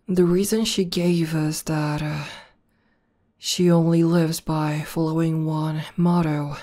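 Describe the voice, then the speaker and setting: Woman voicing a low, slow, mellow tomboy demoness. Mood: calm, reminiscing. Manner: unhurried, hesitant pauses, conversational. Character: buff tomboy demoness girlfriend, proud battle legend, calmly affectionate. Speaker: ASMR roleplay voice actor. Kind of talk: slow intimate ASMR roleplay.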